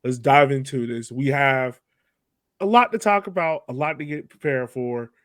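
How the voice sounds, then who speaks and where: A man's voice: medium pitch, normal speed, fairly bright tone, no room sound, studio quality. A man hosting a sports podcast in a casual, conversational style. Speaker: podcast host.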